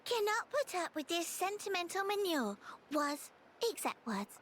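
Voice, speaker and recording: A woman's voice: very high voice, sing-song, unhurried, fairly bright tone, slight background noise, full character voice. A woman voicing a cute, childlike little creature in a video game, talking cheerfully in broken grammar. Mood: chirpy, matter-of-fact. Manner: broken grammar, third-person phrasing. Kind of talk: video game cutscene acting.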